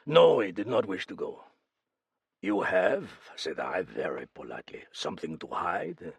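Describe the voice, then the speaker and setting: A man's voice: deep voice, wildly swooping pitch, unhurried, gravelly, husky, no room sound, clean studio sound, heavily acted. A man voicing a theatrical, scheming older Frenchman, speaking in a mannered way.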